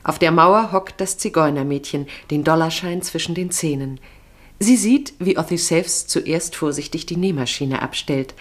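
Low-voiced female radio-play narrator. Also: normal speed.

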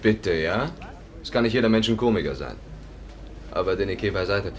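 An actor playing a dry, sardonic, long-suffering husband in a 1950s German radio play. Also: male voice, lowish voice, lively intonation, a little fast, a crowd in the background. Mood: grumbling.

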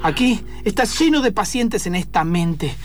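A man's voice: high-pitched, expressive, unhurried. An Argentine man playing an earnest, weary therapist doctor in improvised musical radio comedy, speaking formally and solemnly. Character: earnest, weary male therapist doctor, overwhelmed by his patients. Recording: guitar music behind the voice.

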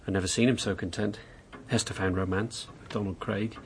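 An actor in a radio drama playing a bored, mischievous housebound husband, candid and needling in manner. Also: male voice, fairly low pitch, steady tone, fairly quick, a little hiss or hum, close to an everyday voice. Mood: recounting.

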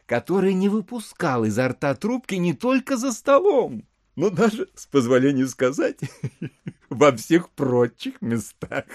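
A syrupy country gentleman in an audio drama, gracious to excess and a little dim. He talks slowly and hesitantly, with a swooping, drawn-out politeness, in a dry close recording. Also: medium pitch, dry recording. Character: sugary, gracious, slow-witted country landowner host.

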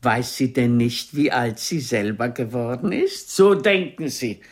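A woman's voice: very deep voice, a little slow, husky, exaggerated voice. An older woman playing an imperious aging stage actress in a radio drama, haughty, theatrical and formal, with a biting, mocking tongue. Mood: biting, self-mocking.

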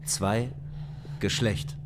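A man playing a male onboard computer in a radio drama, with a calm, clipped, commanding delivery. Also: on the low side, drawn-out words. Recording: noisy radio play recording.

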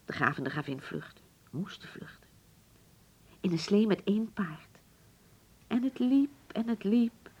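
An actress playing a nostalgic, genteel middle-aged hotel proprietress in a Dutch radio drama, speaking formally and with stately dramatic pauses. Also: female voice, medium pitch, expressive, a little mellow, breathy edge, muffled recording. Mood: grave, dramatic.

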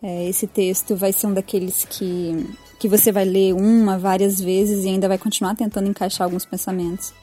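A woman's voice: medium pitch, little pitch movement, normal speed, hardly any pauses, warm tone, faint music behind. A Brazilian woman giving an informal spoken reflection, hesitant and self-deprecating as she goes. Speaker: podcast commentator.

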